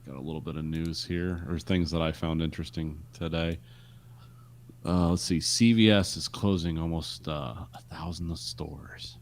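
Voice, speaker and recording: A man's voice: a bass voice, steady tone, normal speed, slight background noise. A man in casual podcast chat, laid-back and brief.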